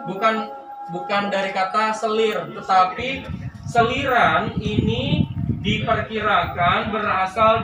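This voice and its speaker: High-voiced male tour guide, noisy echoey recording. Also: far from the mic. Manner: explanatory, conversational, checks in with listeners. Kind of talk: guided tour commentary to a group. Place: on site at a historical cemetery and bathing pool.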